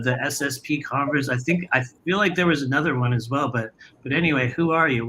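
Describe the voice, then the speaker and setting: Man, host, laid-back, casual slang, mid pitch, faint noise. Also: pushed and bright, poor audio. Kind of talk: casual panel hosting.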